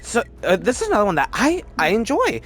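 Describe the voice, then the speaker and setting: A man's voice: sits high, huge pitch swings, fast talker, fairly bright tone. A man hosting a casual, off-the-cuff ranking video, rambling through his opinions and restarting sentences as he goes. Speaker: video host reviewing films.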